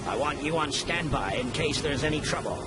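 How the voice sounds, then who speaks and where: Guy doing a heroic robot commander, earnest, lively range, cartoon, loud music. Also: a little high, brisk, lightly acted. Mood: calm commanding.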